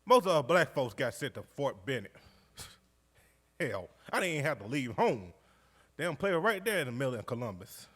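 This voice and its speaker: An actor playing a jovial, warmhearted World War II veteran from Georgia with a Southern accent, spinning his memories in a folksy, exuberant way on stage. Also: mid-range voice, very animated, moderate pace, breathy edge. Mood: reminiscing. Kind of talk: live stage storytelling monologue, conversational. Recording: live stage recording in recreation hall.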